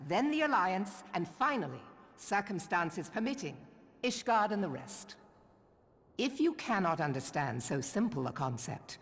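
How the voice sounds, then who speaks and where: A woman playing a stern, patriotic admiral in a fantasy game, speaking in a blunt, imperious and measured way. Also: fairly low pitch. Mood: coldly deliberate. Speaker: military leader. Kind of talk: dramatic fantasy game acting. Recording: long hall reverb.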